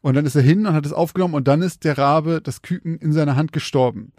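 A man's voice: a little high, fast, darkish tone, no echo, clean studio sound. A man chatting casually as a podcast co-host, telling things in a colloquial storytelling way.

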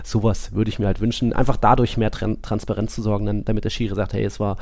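A man with a gravelly voice, co-hosting a casual football podcast and thinking aloud in a rambling way. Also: on the low side, flat delivery, fast talker, a little mellow. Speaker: podcast co-host.

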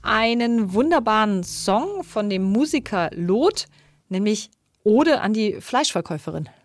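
A woman's voice: medium pitch, animated, a little slow, no room sound. A woman hosting a casual radio chat who asks curious questions and laughs easily. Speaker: host.

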